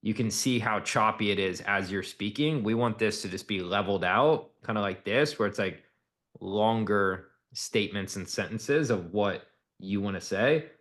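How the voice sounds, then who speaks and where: A man with a fairly low voice works as a sales coach. He talks in a direct, casual way, explaining and critiquing like someone walking a student through a recording. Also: normal speed, a bit bright. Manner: direct, instructive, casual, acts out example lines.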